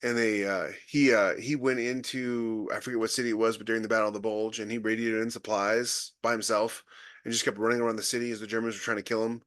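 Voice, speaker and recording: A man's voice: on the low side, level tone, fast talker, fairly bright tone. A man co-hosting a podcast, making points and telling stories in an emphatic, punchy way. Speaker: podcast co-host. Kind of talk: casual chat.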